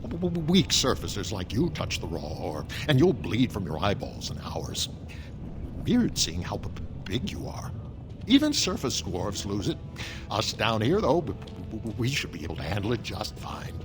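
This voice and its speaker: A man's voice: on the low side, huge pitch swings, moderate pace, music in the background. An actor playing a nervous, addled male dwarf blacksmith in a video game, stammering and scattered but proud of his craft. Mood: rambling, defensive. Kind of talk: video game character acting.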